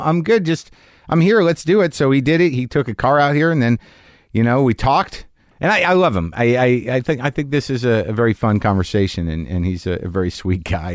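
Male podcast host, 50s, middle pitch, rambling and halting, dry close studio sound. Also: no room sound. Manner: rambling, halting with many restarts. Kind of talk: casual podcast chat and monologue. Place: podcast recording garage.

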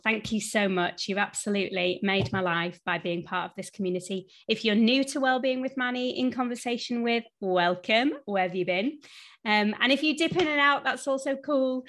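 A woman's voice: fairly high pitch, fairly bright tone. Effusive woman hosting a podcast. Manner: effusive, encouraging. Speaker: podcast host. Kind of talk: scripted intros and chatty interview.

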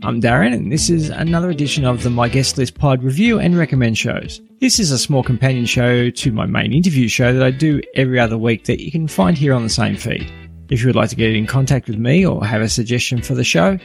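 Gravelly-voiced man hosting a podcast. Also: medium pitch. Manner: relaxed, reading from a script. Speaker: podcast host. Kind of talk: scripted podcast review.

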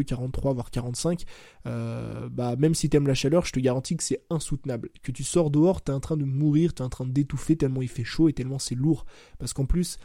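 A man's voice: medium pitch, warm tone, dry recording, studio quality. A man in his twenties hosting a casual solo podcast, talking loosely with lots of fillers and self-corrections. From France. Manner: chatty, loose and informal, says "tu vois" and "bah" constantly, self-corrects. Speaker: podcast host. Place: at home.

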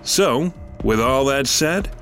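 A man's voice: mid-range voice, lively intonation, takes it slowly, a bit bright. A man, a podcast writer and host, reading a scripted promo for horror shows. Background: music.

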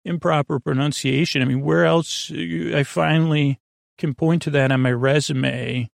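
A man's voice: fairly high pitch, fairly even intonation, normal speed, vocal fry, dry recording, clean studio sound. A man hosting a bedtime podcast, rambling in a friendly, meandering way, often trailing off and restarting. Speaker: podcast host.

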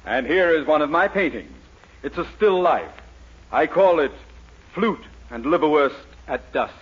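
An actor in a 1940s radio comedy playing a wry, cunning gentleman painter, urbane and polite in his speech. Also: a little high, expressive, unhurried. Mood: smoothly obliging. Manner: polite, urbane, dryly amused. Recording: muffled, very noisy 1940s radio recording.

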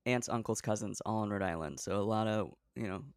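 A young man from Oregon hosting a casual comedy podcast chat, with a playful, deadpan way of joking. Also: lowish voice, a little fast, no room sound, clean studio sound. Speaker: podcast host. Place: New York.

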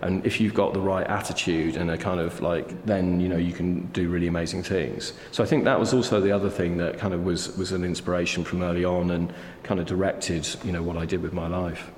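A deep-voiced man in his fifties reflects on his life in an unhurried, hesitant way, full of little fillers. His voice rings through a roomy hall with a long tail of reverb, and faint ambience sits behind him. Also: level tone, a little mellow, a little hiss or hum. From England. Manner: thoughtful, hesitant, many fillers. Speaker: artist guest. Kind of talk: reflective interview conversation.

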